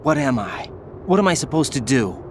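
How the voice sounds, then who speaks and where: A man's voice: mid-range voice, big pitch swings, normal speed, lightly acted. Man acting earnest, troubled young soldier, noisy backdrop. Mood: anguished. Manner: intense, questioning, brooding. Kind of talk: video game cutscene voice acting.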